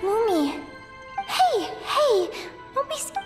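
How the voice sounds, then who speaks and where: A woman's voice: extremely high pitch, big pitch swings, slow pace, heavily acted. An actress voicing a bewildered young woman lost in a dream in a video game, wistful and anxious. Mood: alarmed, disbelieving. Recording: game audio with music over it. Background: game music.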